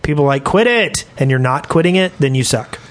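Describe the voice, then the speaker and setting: A man's voice: on the high side, lively intonation, brisk. A man co-hosting a podcast in casual chat, speaking bluntly and jokingly with plenty of opinions. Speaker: podcast co-host.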